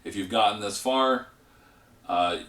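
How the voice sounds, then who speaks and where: Congested guy rambling thru a card deck, low voice, roomy echo, mic kinda far off. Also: a little slow. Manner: rambling, relaxed explaining with many fillers. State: congested, voice giving out. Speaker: gaming channel host. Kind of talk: casual card game deck walkthrough.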